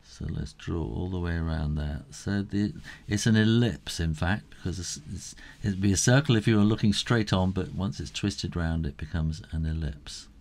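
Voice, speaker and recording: A middle-aged man giving a casual step-by-step drawing tutorial, unhurried and thinking aloud as he works. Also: deep voice, a little mellow.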